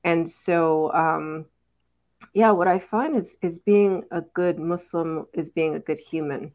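A middle-aged woman, a mother, talking things through in a reflective, casual conversation, pausing often with fillers. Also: lowish voice, lo-fi sound. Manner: thoughtful, unhurried, many fillers and pauses. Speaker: mother on a parenting talk panel.

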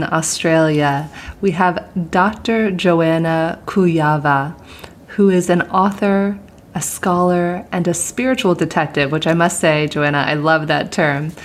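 A woman hosting a podcast interview, introducing her guest in an enthusiastic, upbeat way. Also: fairly low pitch. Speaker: podcast host.

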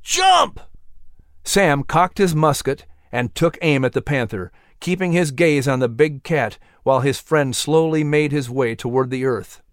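A man reading a children's adventure story as narrator, expressive and suspenseful. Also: mid-range voice, moderate pace, hardly any pauses, no room sound, studio quality. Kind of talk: dramatic scripted story narration.

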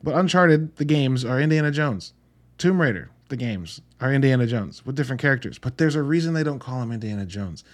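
A man's voice: mid-range voice, expressive, brisk. Male film podcast host, mid-range voice, casual and blunt, talks conversationally with frequent check-ins to the listener. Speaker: podcast host reviewing films. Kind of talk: casual solo film review commentary.

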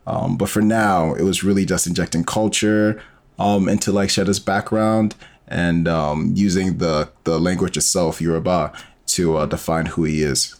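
A man with a very low-pitched voice, talking as a guest in a relaxed interview format. He explains his creative work informally, thinking aloud and building his point in loose, rambling steps. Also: normal speed. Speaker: interview guest, sci-fi audio storyteller. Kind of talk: casual conversational interview.

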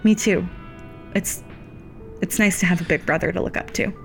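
A woman's voice: lowish voice, moderate pace, gravelly, soft background music. A woman in an audio drama playing a supportive, plainspoken younger sister with a thoughtful, reassuring manner.

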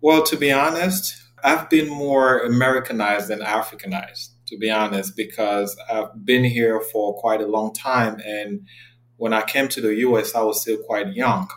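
A man's voice: lowish voice, steady tone, normal speed, a bit bright, poor audio. A man in his thirties from Cameroon, a podcast guest talking earnestly and reflectively in a casual interview. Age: 30s.

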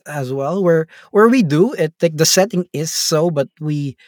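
A man's voice: fairly high pitch, a little slow, no echo, studio quality. A man from the Philippines, a tabletop game master, talking casually as an interview guest with lots of fillers and tag questions. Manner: rambling, relaxed, many fillers and tag questions.

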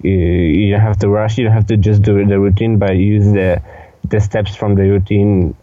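An eighteen-year-old man with a Polish accent, giving casual interview answers in a hesitant, halting way with repeated words. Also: on the low side, flat delivery, mellow, dry recording, dull audio. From Poland. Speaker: podcast guest, dance instructor.

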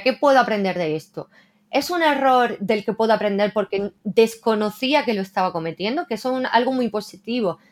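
A woman translator talking reflectively in a casual interview, often tagging her sentences with a questioning "¿no?". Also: fairly high pitch. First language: Spanish. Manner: reflective, frequent "¿no?" tags.

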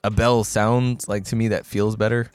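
A man's voice: lowish voice, level tone, normal speed, darkish tone, smooth, faint music behind. A man co-hosting a podcast in casual chat, swearing freely and acting out scenes he recaps. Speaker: podcast co-host.

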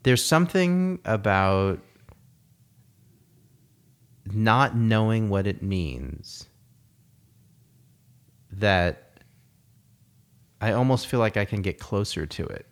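A man's voice: fairly low pitch, animated, slow pace, long pauses, clean tone. A man talking in a casual conversation, reflective and rambling, with many fillers and restarts. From United States.

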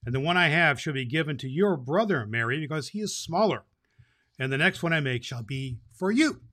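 A man's voice: fairly high pitch, expressive, fairly quick, bright, forceful tone. A man voicing a kindly old toymaker who laughs and speaks soothingly in a storybook reading. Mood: gentle.

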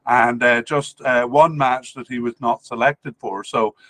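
A man from Wales hosting a sports podcast, going through squad analysis in an informal, methodical and measured way, with some hesitation. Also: mid-range voice, steady tone, fairly bright tone, no echo. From Cardiff, Wales.

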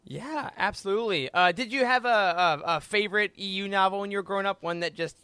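A man's voice: high-pitched, brisk, sharp tone, smooth, no echo. Male podcast host, effusive and chatty, casual interview delivery, dry recording. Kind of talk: casual upbeat interview chat.

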